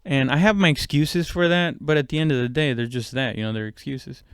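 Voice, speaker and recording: Man, medium pitch, clear smooth voice, casual rambling monologue, halting delivery, heavy swearing, dry close recording. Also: no room sound. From immigrant, moved abroad at seven. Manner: profane, conversational, halting. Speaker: stand-up comedian podcast host.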